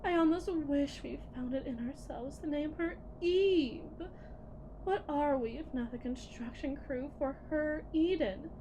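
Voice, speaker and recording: A woman playing a condescending, menacing lead technician at a shady tech company in a scripted ASMR roleplay, theatrical and patronizing with deliberate pauses. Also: high-pitched, dark tone, a little hiss or hum, rough recording. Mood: conspiratorial, proud.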